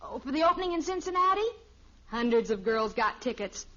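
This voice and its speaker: A high, wisecracking American teenage girl. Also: lively intonation, fairly quick, pushed and bright. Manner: breezy, slangy, wry. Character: breezy, wisecracking teenage daughter of a crooner. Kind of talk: 1950s radio sitcom acting. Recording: muffled old radio recording.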